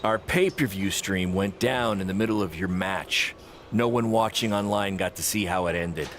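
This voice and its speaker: An actor playing an excitable, fast-talking wrestling promoter with a salesman's manner in a video game cutscene. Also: low voice. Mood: apologetic. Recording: clear background noise.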